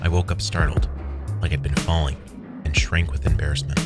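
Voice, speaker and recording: Very deep-voiced man narrating over loud music. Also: fairly even intonation, fairly quick. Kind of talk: scripted first-person horror story narration. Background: loud background music.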